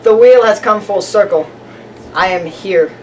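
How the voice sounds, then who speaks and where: A woman's voice: medium pitch, unhurried, a little mellow, dull audio, lightly acted. A woman playing a proud, defiant young male noble in amateur Shakespeare, formal and declamatory, recorded from a distance with noise. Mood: resigned. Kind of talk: amateur Shakespearean stage acting.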